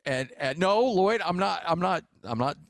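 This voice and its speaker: A man's voice: high-pitched, lively intonation, normal speed, no room sound, clean studio sound. An American man hosting sports talk radio, bantering casually and repeating phrases for emphasis. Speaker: sports radio host and play-by-play announcer.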